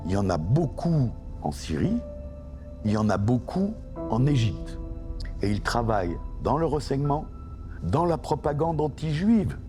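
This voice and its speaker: A man explaining in a measured interview manner, lifting his voice on stressed words. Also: medium pitch, big pitch swings. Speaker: documentary interviewee. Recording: loud music bed behind the voice.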